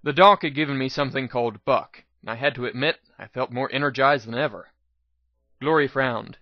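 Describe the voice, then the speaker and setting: A man's voice: mid-range voice, a little fast, no echo, lo-fi sound. Man narrating a story, very dry sound. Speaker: narrator, reader. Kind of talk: dramatic first-person story narration.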